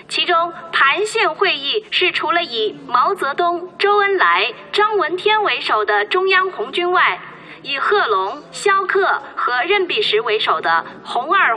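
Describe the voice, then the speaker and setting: A woman's voice: sits high, bright, forceful tone, heavily acted. A woman playing a proud museum guide explaining history formally to visitors in a radio drama.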